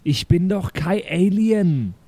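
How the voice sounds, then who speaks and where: A man as an indignant Franconian guy, higher-pitched. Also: expressive, slow, mellow, no echo, full character voice. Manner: grumbling, incredulous dialect complaining. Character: indignant, flustered earthling man in a bad alien disguise.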